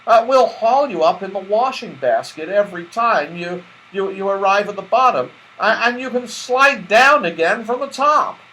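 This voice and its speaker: Male reader as storybook toy bear, eager to please, hesitant and stammering, high in pitch. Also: lively intonation, moderate pace, hardly any pauses, bright, forceful tone, poor audio. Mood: flustered, eager.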